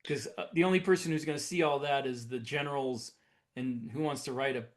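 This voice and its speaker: A man's voice: mid-range voice, a little fast. A man co-hosting a podcast, talking casually as a science fiction writer in an unscripted discussion. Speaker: podcast co-host and military sci-fi writer.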